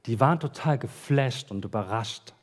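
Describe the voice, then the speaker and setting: A man delivering a sermon at a church service, talking in an easygoing, conversational way and dropping casual slang between the Bible readings. His voice sits at an ordinary middle pitch. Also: normal speed. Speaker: pastor.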